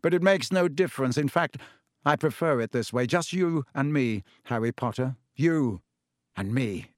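A man voicing a cold, gloating young dark wizard villain in an audiobook, taunting and menacing with drawn-out words. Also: mid-range voice, expressive, no echo, studio quality, lightly acted.

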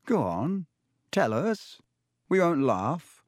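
A man's voice: a little high, big pitch swings, slow, dry recording, pitched above the performer's own voice. A blunt, cheeky teenage boy, voiced by a male reader in a storybook, his voice lifted above the narrator's own. The recording is dry and close. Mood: teasing. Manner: blunt, teasing.

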